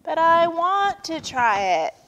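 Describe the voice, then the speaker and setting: Lady doing a bratty screechy turtle girl from a kids book, whining and demanding, really high, big echo. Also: big pitch swings, slow, fairly bright tone, highs cut off, slight background noise, full character voice. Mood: whiny, demanding. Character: whiny, screaming young female turtle throwing a tantrum. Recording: long reverberant room.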